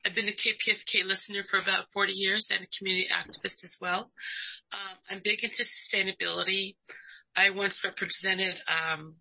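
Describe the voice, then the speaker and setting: A woman's voice: mid-range voice, fairly even intonation, fairly quick, vocal fry, sharp tone, low-quality recording. An older woman near retirement introducing herself as a candidate at a public meeting, speaking plainly with some hesitation. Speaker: board candidate.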